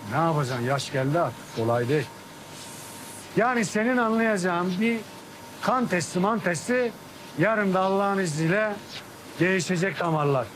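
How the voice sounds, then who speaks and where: An actor playing a quick-tempered, domineering middle-aged man who scolds and shouts in coarse slang. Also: sits high, lively intonation, a little hiss or hum. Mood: wry. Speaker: Affan, a local strongman. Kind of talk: TV drama acting. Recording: TV drama with music score.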